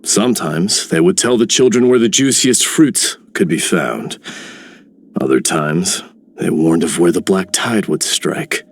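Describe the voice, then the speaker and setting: An actor voicing a measured, reflective warrior in a video game. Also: male voice, low voice. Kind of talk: video game character voice lines.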